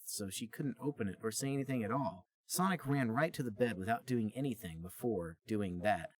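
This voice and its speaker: A man's voice: lowish voice, husky. A man co-hosting a podcast, reading a story aloud as narrator and chatting casually between passages.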